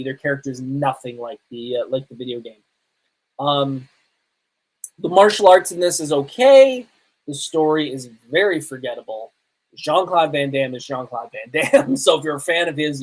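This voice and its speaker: A man hosting a podcast, reviewing movies casually in an emphatic, halting way with pauses for effect. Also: fairly high pitch, low-quality recording. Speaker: podcast host.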